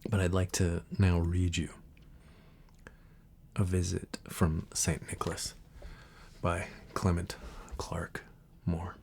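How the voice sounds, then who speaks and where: Male ASMR reader, dark breathy tone, soft and gentle, very even delivery. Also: sits low, slow, a little hiss or hum. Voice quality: soft, breathy, husky, sometimes near a whisper. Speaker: ASMR video creator and reader. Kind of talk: soft-spoken ASMR talk and poem reading.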